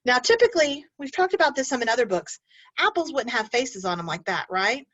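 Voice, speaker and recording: A lively woman teacher reads a storybook aloud to young children, her voice swooping up and down as she asks them questions. Her voice sits fairly high. The recording sounds dull and lo-fi. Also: brisk. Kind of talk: reading a picture book aloud to children.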